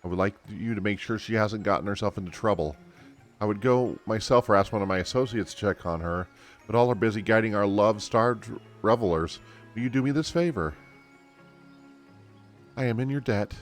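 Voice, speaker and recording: A man's voice: on the low side, brisk, natural voice. A man voicing a courteous, effusive woman emissary from a fantasy game in formal, flowery speech. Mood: courteous, warm. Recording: game music behind the voice.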